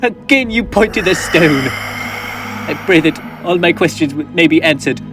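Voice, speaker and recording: A man's voice: fairly high pitch, lively intonation, moderate pace, close to an everyday voice. A teenage boy acting an old male miser on a school stage, pleading earnestly and theatrically. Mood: dread. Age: teens. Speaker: stage actor. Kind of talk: high school stage play. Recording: guitar music behind.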